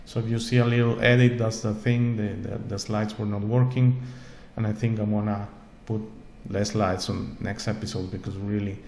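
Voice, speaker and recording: A man's voice: lowish voice, steady tone, normal speed, vocal fry, darkish tone. A man who is not a native English speaker hosts his own show, giving an earnest, rambling spiritual talk full of repeated words and checks like "okay?". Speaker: host. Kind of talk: spiritual monologue to camera. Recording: echoey room with faint ambient noise.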